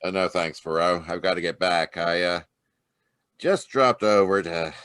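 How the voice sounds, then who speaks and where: A man voicing a weary police inspector in an old-time radio play, gruff and plain. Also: low voice, unhurried, a bit bright, no room sound.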